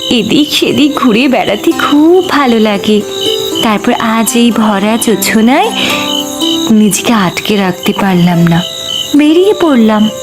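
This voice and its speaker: Woman doing a light, lilting fairy maiden, teasing and gentle; cartoon, music bed. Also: fairly high pitch, lively intonation, a little slow. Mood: playful. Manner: soft, coaxing, light laughter. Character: gentle playful young fairy girl. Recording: music playing behind.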